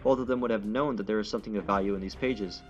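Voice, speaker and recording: A man's voice: fairly low pitch, steady tone, racing. A man reading a visual novel's dialogue and narration aloud as a let's player, stumbling over words and correcting himself. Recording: dull audio with game music behind. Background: game music.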